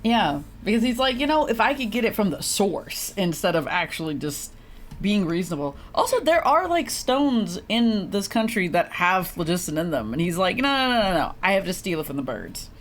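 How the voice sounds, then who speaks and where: A woman's voice: medium pitch, very animated, normal speed, slight background noise. A woman doing casual, chatty live gameplay commentary as a streamer, giggly and free with swearing. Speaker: game streamer.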